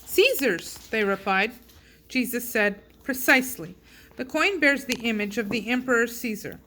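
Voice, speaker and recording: A woman reading scripture aloud with measured, deliberate phrasing. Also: medium pitch, fairly bright tone, slight background noise. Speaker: scripture reader and host.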